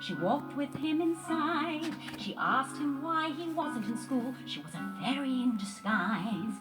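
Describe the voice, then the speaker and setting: A woman's voice: sits high, expressive, slow. A woman narrating a children's storybook in a scripted read-along style, with music behind her. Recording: loud guitar music under the voice. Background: sing-along music.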